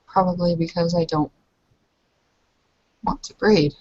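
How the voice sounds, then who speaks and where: A woman playing a guarded young woman who defies her clan, speaking in a formal, measured way. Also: on the low side, fairly even intonation, darkish tone, dull audio. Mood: coolly defiant.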